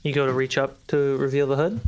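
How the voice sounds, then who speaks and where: A man's voice: mid-range voice, fairly even intonation, fairly quick, a little mellow, a little hiss or hum. A man running a tabletop roleplaying game as game master, narrating scenes and answering players. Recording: music and rain sound effects behind. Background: atmospheric music, rain.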